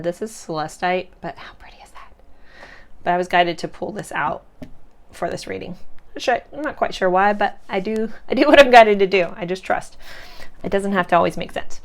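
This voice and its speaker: A woman giving a casual pick-a-card tarot reading, chatty and reassuring, her voice congested and cracking from sinus trouble. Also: fairly low pitch. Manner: chatty and reassuring, loose with many fillers. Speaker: tarot reader.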